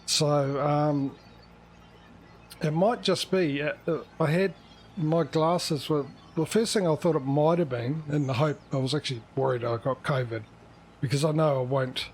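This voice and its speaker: Male livestream host, mid-pitched, casual and meandering, hesitant delivery with self-corrections, slight background noise. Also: steady tone, moderate pace.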